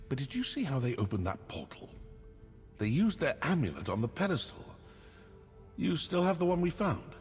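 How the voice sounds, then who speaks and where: A man playing a shrewd, daring, wry adventurer in a video game, speaking in a measured, dramatic way. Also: mid-range voice. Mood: wary, urgent. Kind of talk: video game character dialogue. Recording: long reverb, music behind.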